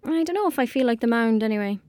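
A woman's voice: on the high side, lively intonation, fast talker, a little mellow, dry recording, lightly acted. A woman playing an anxious, imaginative young girl from rural Ireland in an audio drama, with an Irish accent and blunt, colloquial talk. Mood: reluctant, bantering. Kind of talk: naturalistic audio drama acting.

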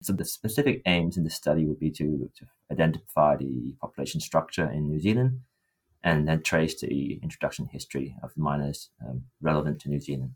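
A young man from Thailand with an extremely deep, husky voice. He talks conversationally as a research student being interviewed. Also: lively intonation, a little fast. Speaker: PhD student guest.

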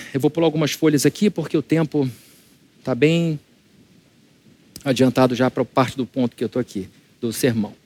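A man's voice: medium pitch, darkish tone. A male pastor with a Brazilian Portuguese accent delivering a conversational church sermon, firing rhetorical questions at his congregation. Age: adult, married.

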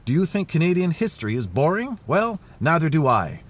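A man's voice: on the high side, very animated, a little fast. A man acting in a satirical audio drama as a flustered, sarcastic, self-important foundation director, stammering and wry. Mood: rousing, theatrical. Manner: stammering, wry, theatrical in speeches. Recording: faint vehicle noise behind. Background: moving car ambience.

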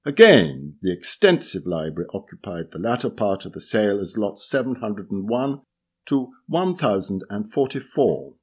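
A man reading a magazine article aloud in measured narration, with lively, varied intonation through long lists. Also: lowish voice, breathy edge.

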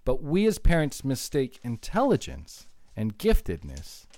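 A man's voice: medium pitch, huge pitch swings, unhurried, warm tone, no echo. A man hosting a podcast, brash and boastful, teasing his co-hosts and swearing freely. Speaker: host. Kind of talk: irreverent podcast chat.

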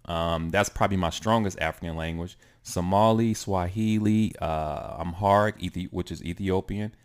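A man being interviewed in casual chat, often pausing with fillers and restarting his sentences. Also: low voice, clean tone. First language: English. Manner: hesitant, many fillers and restarts. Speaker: guest.

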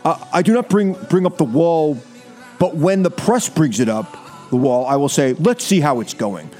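A man playing a bombastic, boastful American president in a comedic reading, halting and repeating himself. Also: on the high side, expressive, mellow, faint music behind, natural voice. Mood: conciliatory. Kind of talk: comedic dramatic reading over music.

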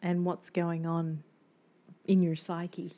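Lady cohosting a podcast, kinda low voice, chatty n expressive, agrees a lot. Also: slow pace. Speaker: podcast co-host. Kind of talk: casual podcast chat.

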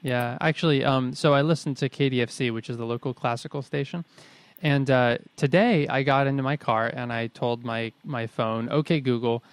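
A man's voice: mid-range voice, steady tone, normal speed, clear, resonant tone, no room sound. A young adult man co-hosting a podcast in casual chat, wry and jokey, restating ideas to check them. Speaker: podcast co-host.

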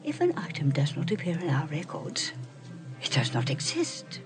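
A woman's voice: sits low, wildly swooping pitch, moderate pace, whispered. An actress playing a stern, elderly female archivist who speaks firmly and dismissively. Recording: film clip over loud music.

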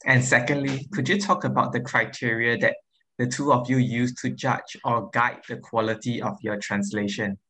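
A man's voice: medium pitch, fairly even intonation, moderate pace, sharp tone, poor audio. A man moderating a panel, posing structured questions politely with some hesitant fillers.

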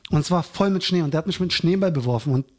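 Higher-pitched man telling anecdotes. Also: fast, darkish tone. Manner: acts out quoted dialogue while telling stories. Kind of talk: casual chat, animated anecdote telling.

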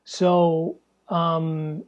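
A young man hosting a solo podcast, tired and hoarse from losing his voice, talking in a rambling, candid way with plenty of swearing. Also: a little high, flat delivery, drawn-out words, lo-fi sound. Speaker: podcast host. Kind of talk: casual solo podcast monologue. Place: small stuffy indoor room.

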